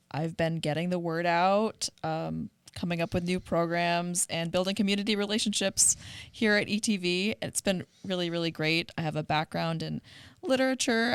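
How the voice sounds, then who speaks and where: A woman's voice: fairly low pitch, gravelly, clear, resonant tone, dry recording. A woman speaking as a podcast guest in a casual, conversational style. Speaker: community coordinator, podcast guest.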